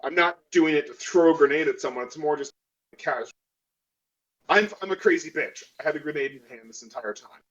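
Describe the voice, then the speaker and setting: A man's voice: fairly high pitch, fairly quick, a bit bright, dull audio. A man playing a tabletop role-playing game, casually improvising what his character does in a rambling, profane way.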